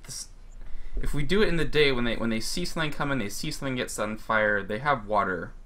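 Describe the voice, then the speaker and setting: A man taking part in a tabletop game over a video call, thinking out loud with hedges and restarts. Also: mid-range voice, fast. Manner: thinks aloud, hedging and restarting. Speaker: player.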